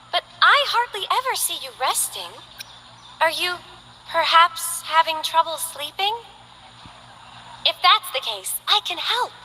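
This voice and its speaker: Gentle young acolyte, timid, apologetic, high bright voice, slow and soft. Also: female voice, slight background noise, full character voice, rough recording. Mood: sweet. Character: gentle young female acolyte of a holy order, timid and devout. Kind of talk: game character voice lines.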